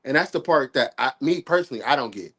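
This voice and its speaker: A man's voice: mid-range voice, quick pace, slightly rough. Man in his forties, a little nasal from a cold. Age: 40s. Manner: relaxed, thoughtful, slangy. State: sick, a bit nasal. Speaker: guest on a live stream talk. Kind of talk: casual chat.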